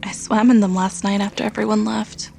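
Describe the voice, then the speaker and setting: A woman's voice: medium pitch, fairly even intonation, a little fast, gravelly, mellow, soft background music. An actress playing a restless, withdrawn young woman in a naturalistic audio drama, subdued but resolute. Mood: subdued, wistful.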